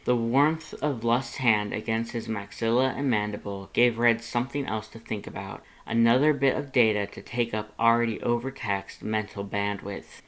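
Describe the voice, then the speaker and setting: An audiobook narrator with a medium-pitched voice reads fan fiction. The delivery is expressive, scripted storytelling. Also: normal speed, few pauses, rough recording. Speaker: fanfiction audiobook narrator and channel host.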